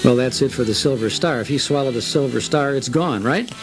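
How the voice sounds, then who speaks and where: A man with a mid-pitched voice hosts an informal guided tour. He talks conversationally and playfully, prompting his guest with short questions and checking for agreement. Music plays clearly behind him. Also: fairly quick, rough recording. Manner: conversational, playfully prompting, often seeking confirmation. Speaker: host interviewer.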